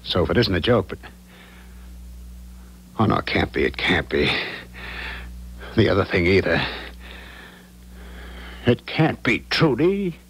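An actor playing a guilty husband with a secret in a 1970s radio drama, dramatic and on edge. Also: male voice, deep voice, very animated, unhurried, pauses often, a bit bright, full character voice. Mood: alarmed, panicky. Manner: dramatic, swinging, agitated. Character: guilty, rattled husband hiding a killing. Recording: hissy 1970s radio recording.

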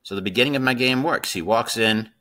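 A man's voice: mid-range voice, fast talker, bright, forceful tone. A middle-aged man hosting a casual screen-share coding tutorial. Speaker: tutorial host.

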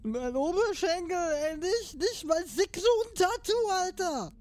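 A woman's voice: extremely high pitch, lively intonation, measured pace, a little mellow. A woman playing a crude, hot-tempered young street tough, slangy and indignant, in an audio drama parody. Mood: angry. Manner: slangy, foul-mouthed, indignant.

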